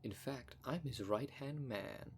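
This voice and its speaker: A man's voice: medium pitch, slow pace, a little hiss or hum, close to an everyday voice. A man voicing a vain, flirtatious, snobbish werecat socialite in a scripted roleplay spoken to the listener, with a pompous, haughty manner. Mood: smug, self-important. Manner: pompous, haughty, smooth-talking. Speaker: voice actor in audio roleplay.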